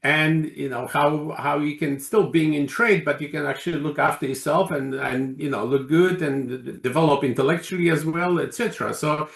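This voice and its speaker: A man speaking as an interview guest, halting and searching for words with many restarts. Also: fairly high pitch, creaky, a bit bright, roomy sound. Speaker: guest, organisation chairman. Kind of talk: casual interview talk.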